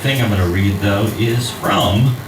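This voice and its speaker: An actor reading aloud to a live audience in a cabaret bar, hesitant and self-deprecating between passages, measured and wry once he reads. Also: fairly low pitch, noisy background. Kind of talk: live public reading of fiction. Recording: roomy, distant bar recording with loud ambience.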